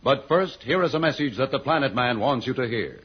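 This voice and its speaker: A man's voice: mid-range voice, expressive, fairly quick, breathy edge. A man announcing an old radio adventure serial, narrating a script in a dramatic, declamatory way. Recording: old muffled radio recording, loud organ music.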